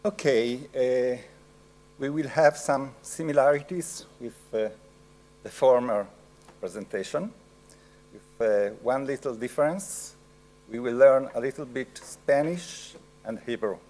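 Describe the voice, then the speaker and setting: A middle-aged man with an Italian accent giving an academic lecture, slow and halting with frequent pauses. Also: medium pitch, darkish tone.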